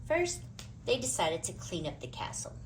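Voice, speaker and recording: A woman's voice: medium pitch, huge pitch swings, normal speed, slight background noise. A woman narrating a children's storybook aloud, expressively and with dramatic emphasis.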